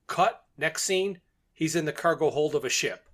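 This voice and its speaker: A man's voice: a little high, normal speed, a bit bright. A man co-hosting a podcast, recapping a story in a casual, jokey, conversational way with short sentences. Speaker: podcast co-host.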